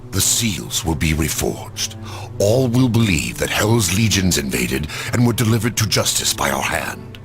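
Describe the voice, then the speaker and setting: An actor voicing a grave, anxious, dignified old angel in a video game, speaking formally and theatrically. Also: male voice, sits low, sing-song, unhurried, soft background music. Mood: solemn. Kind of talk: video game drama acting.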